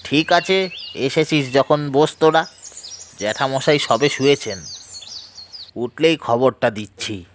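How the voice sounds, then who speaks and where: A man's voice: mid-range voice, slow pace, pauses often, a little hiss or hum, close to an everyday voice. A man playing a doubtful but easy-going male friend in an audio story, speaking in a colloquial, questioning way. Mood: agreeable, considering. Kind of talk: audio story dramatic dialogue.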